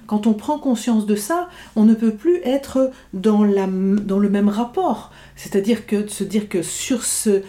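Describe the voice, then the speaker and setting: A woman's voice: medium pitch, mellow. A woman interviewer musing aloud to her guests, engaged and full of small fillers. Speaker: radio interviewer. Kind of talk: interview conversation.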